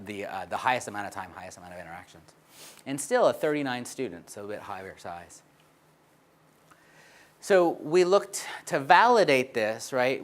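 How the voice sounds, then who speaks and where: A man's voice: medium pitch, a bit bright. A man giving a conference talk on research findings, explaining charts with frequent fillers and "right?" checks. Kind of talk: conference presentation.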